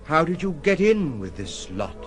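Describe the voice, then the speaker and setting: A courteous, wry gentleman in an audio drama. His voice is a little high for a man and moves widely in pitch. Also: big pitch swings, normal speed, faint music behind. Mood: concerned. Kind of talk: radio drama acting.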